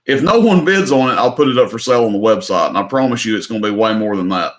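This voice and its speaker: A man's voice: fairly low pitch, lively intonation, fast. A man hosting a casual live-stream auction from home, talking in an easygoing, rambling way that often repeats itself. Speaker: livestream auction host. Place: indoors at home.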